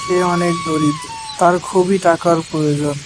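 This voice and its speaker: A man's voice: on the high side, wildly swooping pitch, normal speed, music in the background, rough recording. A man reading scripted narration for a cartoon moral story.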